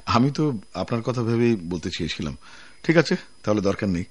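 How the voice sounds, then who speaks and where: Casting: courteous, soft-hearted male manager, very low husky voice, tentative delivery, radio drama. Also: sing-song, a little fast. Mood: considerate, hesitant. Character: considerate, polite male office superior.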